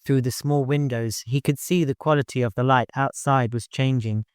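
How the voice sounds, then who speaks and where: A man's voice: mid-range voice, steady tone, moderate pace, clean tone, dry recording, clean studio sound. A man reading scripted literary fiction as an audiobook narrator.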